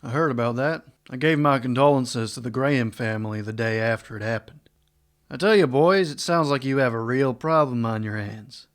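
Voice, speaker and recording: An actor playing a well-mannered small-town marshal in a western radio drama, wry, plain-spoken and wary. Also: male voice, mid-range voice, normal speed, close to an everyday voice. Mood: wry, skeptical.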